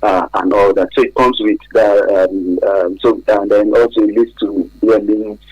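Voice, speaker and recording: A man's voice: lowish voice, steady tone, normal speed, a little mellow, breathy edge, dry recording, highs cut off. A man with a Nigerian accent giving hesitant, explanatory answers as an expert guest in a radio interview. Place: radio studio.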